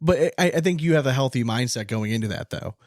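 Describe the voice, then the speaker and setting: A man's voice: medium pitch, expressive, fast talker, no echo, clean studio sound. An American man hosting a podcast, chatting casually and frankly with informal check-in phrases. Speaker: podcast host. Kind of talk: casual opinionated chat.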